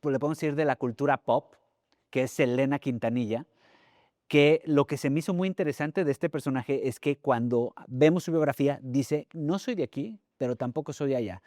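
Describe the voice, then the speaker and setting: Man, Mexican accent, podcast host, casual chat, mid-pitched voice, very dry recording. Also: fairly quick, a little mellow, no echo. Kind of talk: casual conversational interviewing.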